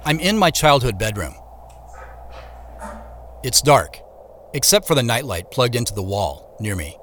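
Thoughtful scientist guy telling his own story in an audio drama, pretty expressive pitch. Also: measured pace, a little hiss or hum. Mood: hushed suspense. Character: introspective male scientist narrating his own search. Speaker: lead actor and narrator. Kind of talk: first-person audio drama narration and dialogue.

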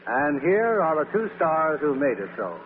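A man's voice: a little high, sing-song, measured pace. A man hosting a 1940s radio theatre with scripted announcing and chat with stars. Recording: echoing 1940s radio broadcast, narrow band, hiss.

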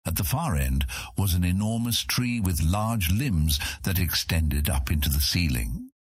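Deep voiced guy narrating fantasy story, kinda raspy, dramatic delivery. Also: lively intonation, unhurried, dry recording, studio quality. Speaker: audiobook narrator.

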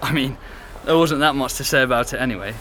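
An actor playing a sociable young former housemate, chatty and frank, in a naturalistic audio drama. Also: male voice, mid-range voice, fast talker, fairly bright tone, lightly acted. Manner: chatty, conversational. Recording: faint pub background noise.

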